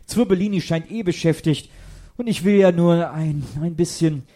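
A man playing a know-it-all junior detective boy, huffy and excitable, in a comic radio play. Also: fairly high pitch, moderate pace, natural voice. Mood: drowsy. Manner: excitable, cocky, self-talking.

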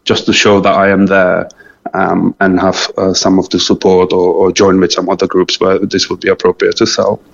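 A man with a Czech accent speaks as a guest in a casual interview. His voice sits low with a lot of fry, and he comes across as modest and a bit hesitant. The sound is slightly dull and muffled. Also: monotone, normal speed. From Czech Republic. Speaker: interview guest, small clothing business founder.

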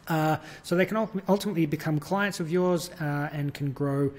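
A man's voice: on the high side, normal speed. A man with an Australian accent, a guest in an interview, explaining his business at length with frequent fillers and light laughs. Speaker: guest, virtual events business owner. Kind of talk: conversational interview.

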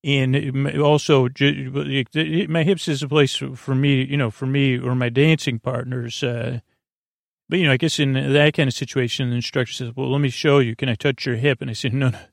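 A man with a creaky voice hosting a bedtime podcast, talking in a lulling, halting, meandering monologue that keeps drifting into tangents. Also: mid-range voice, fairly even intonation, no echo, clean studio sound. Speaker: podcast host.

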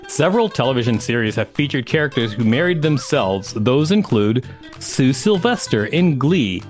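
A man hosts a podcast in a mid-pitched voice with very lively intonation. He moves between reading aloud and casual banter. Soft guitar music plays behind him. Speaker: podcast host.

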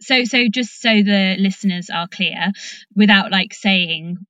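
A woman's voice: medium pitch, a little slow, a bit bright, no echo. A British woman hosting a casual interview, informal and encouraging, feeling her way through questions. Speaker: podcast host interviewing.

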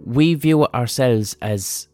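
A man's voice: mid-range voice, takes it slowly, dark tone, dry recording, soft background music. Blunt, swearing Irish man. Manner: blunt and profane, thinking aloud. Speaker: podcast host. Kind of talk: casual opinion monologue.

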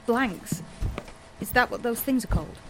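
A woman's voice: on the high side, normal speed, background noise, natural voice. An actress playing a wary, sardonic young woman on the run in an audio drama, with a dry, questioning manner. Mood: sceptical, troubled. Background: music.

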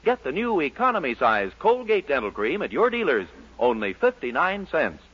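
A man's voice: on the high side, huge pitch swings, moderate pace. An American man announcing on a 1940s radio show with polished, emphatic scripted salesmanship. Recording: muffled 1940s radio broadcast recording.

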